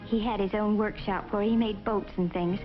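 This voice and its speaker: A woman's voice: medium pitch, fairly even intonation, normal speed, soft background music, lightly acted. An actress playing a young college woman who narrates reflectively in a 1950s educational film. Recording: muffled, hissy 1950s film soundtrack.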